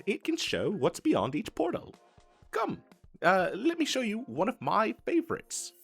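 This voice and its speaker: A man's voice: high voice, a bit bright, full character voice. A man voicing an eager, boastful wizard salesman in a comedic fantasy audio roleplay, with theatrical emphasis and a stammering, hard-selling manner. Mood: proud, showy. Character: eager, boastful male wizard salesman hawking magical wares. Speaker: street vendor character in an audio roleplay.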